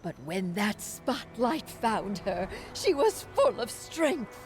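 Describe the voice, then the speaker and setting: Elegant stage actress, high voice, hugely swooping intonation, dramatic, sentimental, game dialogue. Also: female voice, very animated, slow, a little mellow. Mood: wistful, fond. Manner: dramatic, lilting storyteller. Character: elegant theatrical former actress, expressive and sentimental. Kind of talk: video game story dialogue. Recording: background music under the voice.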